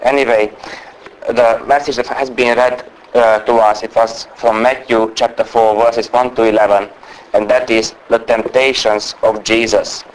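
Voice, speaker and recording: Fast-talking man preaching with a strong non-native accent. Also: mid-range voice, level tone, a little hiss or hum, low-quality recording. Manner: naturally fast talker trying to speak slowly. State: unwell with a cold or flu. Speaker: guest preacher. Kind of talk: sermon.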